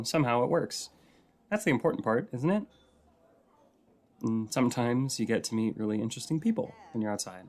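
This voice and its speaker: A man playing a shy, sweet writer in an intimate audio roleplay, chatting in a hesitant, rambling, softly flirty way. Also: lowish voice, lightly acted. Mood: nervous, earnest. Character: shy, rambly, sweet regular writing in a coffee shop.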